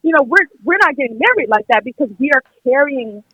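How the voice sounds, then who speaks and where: Young american woman calling in, bright high voice, makes her points hard, acts out quotes. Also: lively intonation, a little fast, no echo, lo-fi sound. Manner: emphatic, acts out imagined quotes. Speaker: caller on a podcast. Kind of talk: opinionated conversational argument.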